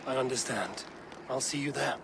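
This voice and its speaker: An actor playing a loyal, plain-spoken Viking warrior in a video game cutscene, with a measured, earnest delivery. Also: male voice, mid-range voice, creaky, background noise, natural voice. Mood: matter-of-fact. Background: prominent music.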